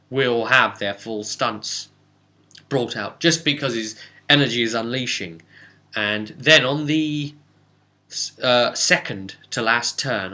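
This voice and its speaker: A man casually recapping a tabletop role-playing session as game master, telling it like a story with halting asides. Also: medium pitch, sharp tone.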